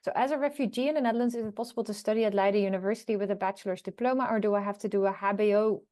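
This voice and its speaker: A woman's voice: medium pitch, rapid-fire, a little mellow. A woman hosting an online college information day over a video call, relaying questions and passing them to staff and students. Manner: friendly, relays questions and hands them to others. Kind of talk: moderating an online Q&A.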